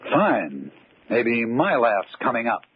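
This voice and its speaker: A man's voice: mid-range voice, huge pitch swings, takes it slowly, slightly rough, faint music behind, heavily acted. An actor playing an accused man hunting a murderer in an old-time radio mystery, urgent and earnest with a dry, ironic edge. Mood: deductive, dry. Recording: tinny, noisy old radio recording.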